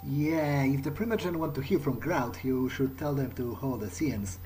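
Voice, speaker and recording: A man's voice: mid-range voice, normal speed, darkish tone, slight background noise. A man improvising in character during a video game playthrough, casual, hesitant and wry. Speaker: let's play gamer speaking in character.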